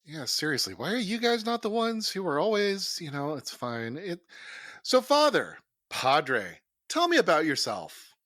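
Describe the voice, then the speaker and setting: Lively higher-voiced man, joking casually. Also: unhurried, no echo, clean studio sound. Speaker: player in a tabletop game podcast.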